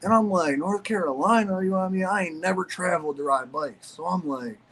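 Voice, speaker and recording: A man's voice: high-pitched, fairly quick, a bit bright, slight background noise. A young man from Ohio, a former pro BMX rider, telling stories in a loose, casual chat full of fillers and swearing. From Ohio, USA. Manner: loose, profane storytelling with many fillers.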